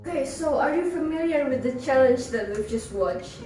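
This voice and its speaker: Slightly high-voiced woman, echoey. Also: animated, normal speed, darkish tone. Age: young adult. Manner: explanatory, thoughtful, playful at times. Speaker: student podcast co-host. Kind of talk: casual discussion. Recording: echoey room, distant mic, music behind.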